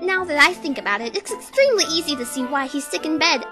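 Woman as a very high, excitable bossy schoolgirl. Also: fast, music in the background. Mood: dramatic realization. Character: bossy, excitable, self-important teenage schoolgirl. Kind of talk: fan manga dub acting.

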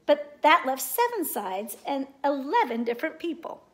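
A middle-aged woman reading a children's storybook aloud in an expressive, deliberate way. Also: sits high. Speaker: storybook reader. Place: indoors, living room at home.

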